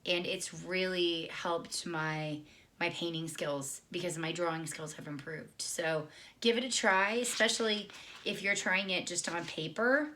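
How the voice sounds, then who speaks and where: A woman with a scratchy, hoarse voice from being sick, casually talking through a painting tutorial with lots of ums and restarts. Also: fairly low pitch, a little slow, sharp tone. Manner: chatty, many ums and restarts. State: hoarse, recovering lost voice. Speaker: painting instructor.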